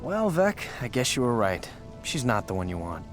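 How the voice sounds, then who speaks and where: A man's voice: medium pitch, very animated, normal speed, natural voice. An actor playing a cool, wry male hero in a fantasy video game, composed in his delivery. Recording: clear music underneath.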